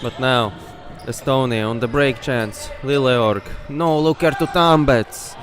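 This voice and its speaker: Excitable man with a Latvian accent. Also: medium pitch, a little slow. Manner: excitable, openly partisan, bursts into shouts on big plays. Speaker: sports commentator. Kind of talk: live play-by-play commentary. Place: indoor sports hall.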